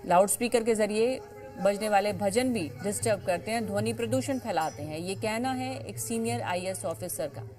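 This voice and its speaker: A woman's voice: mid-range voice, moderate pace, darkish tone. Female news anchor over background music. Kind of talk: live television news reporting.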